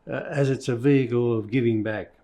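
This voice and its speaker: A man giving a documentary interview in a halting, measured way. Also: medium pitch, mellow. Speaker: service club member interviewed.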